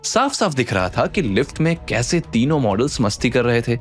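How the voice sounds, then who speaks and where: Male narrator for an audio drama series, mid-pitched, suspenseful and emphatic, music bed underneath. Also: sing-song, normal speed. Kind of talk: dramatic scripted Hindi story narration, voicing the characters.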